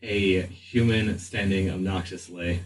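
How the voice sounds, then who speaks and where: A man narrating a tabletop game as game master, in a halting, deliberate way. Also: sits low, steady tone. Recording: distant mic, faint room noise.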